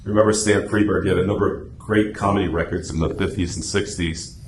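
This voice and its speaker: A man's voice: low voice, steady tone, fast. A man giving an informal club talk in a meeting room, explaining things through anecdotes. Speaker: guest speaker, radio drama society officer. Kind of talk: informal club presentation and discussion. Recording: echoey room, faint background noise.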